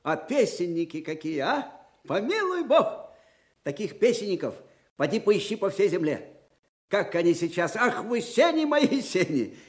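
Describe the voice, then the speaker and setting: Бойкого старого фельдмаршала, голос высоковатый. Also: male voice, high voice, sing-song, a little slow. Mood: jolly, excited. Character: spry, folksy old Russian field marshal, warm and peppery. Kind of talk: 1980s Soviet radio drama acting.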